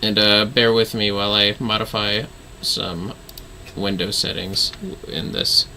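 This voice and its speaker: A man's voice: fairly low pitch, fairly even intonation, measured pace, bright, forceful tone, a little hiss or hum, rough recording. A man chatting casually as he hosts a game livestream, informal and apologetic, swearing freely. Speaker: livestream host playing a video game.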